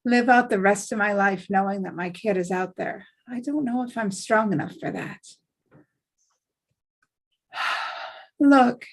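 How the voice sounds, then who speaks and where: A woman's voice: a little high, vocal fry, breathy edge, full character voice. A woman playing an earnest, conflicted young woman in a dramatic script table read, speaking in a heartfelt, plain-spoken way.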